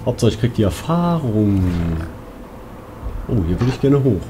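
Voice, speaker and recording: A man's voice: medium pitch, sing-song, measured pace, noisy background. A man giving casual let's play commentary, talking to himself with crude exclamations. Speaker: let's player. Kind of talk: casual video game commentary. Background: video game music.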